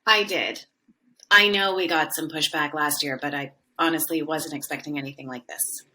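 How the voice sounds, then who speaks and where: An actress playing a composed, principled school department leader in an audio drama, speaking steadily and assertively. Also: female voice, deep voice, fairly even intonation, normal speed, sharp tone, a little hiss or hum. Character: composed, principled female department leader defending her program.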